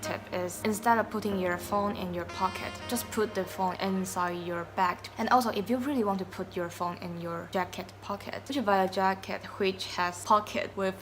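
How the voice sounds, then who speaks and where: A woman with Mandarin as her first language hosts a casual interview, asking short questions in a conversational way. Her voice sits at a middle pitch, and music plays clearly under the talk. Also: steady tone, moderate pace, hardly any pauses. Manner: conversational, asks short questions, laughs easily. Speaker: host.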